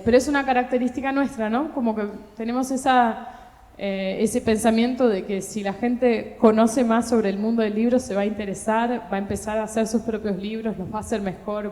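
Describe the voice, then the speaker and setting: A woman's voice: mid-range voice, mellow. A woman publisher on a book fair panel, speaking Spanish tinged by Portuguese and explaining her work in a thoughtful, hesitant way with many filler sounds. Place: talk hall at a book fair. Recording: echoey hall with long reverb.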